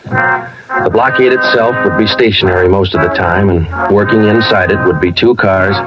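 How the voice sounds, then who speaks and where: A terse, stoic American detective narrating a 1950s radio crime drama. His very low voice is clipped and deadpan. The old recording is muffled, with loud music underneath. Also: normal speed. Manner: clipped, deadpan, matter-of-fact. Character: terse, stoic male police sergeant narrating his case.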